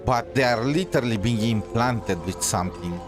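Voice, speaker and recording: A man giving casual gameplay commentary as a streamer, thinking aloud in a hesitant, halting way. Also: mid-range voice, animated. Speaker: game streamer. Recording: loud game music underneath.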